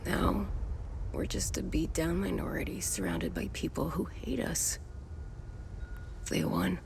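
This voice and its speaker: A man's voice: fairly high pitch, huge pitch swings, measured pace, vocal fry, noisy background, exaggerated voice. An actor playing a defeated old grandfather, mournful and resigned, in a video game. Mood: despairing. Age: older.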